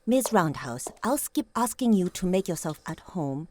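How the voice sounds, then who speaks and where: A woman's voice: lowish voice, very animated, moderate pace, darkish tone, a little hiss or hum. A woman playing a poised, imperious lady in a noir audio drama, speaking formally with cool, clipped precision. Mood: composed, insistent. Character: poised, imperious, wealthy daughter of a doctor.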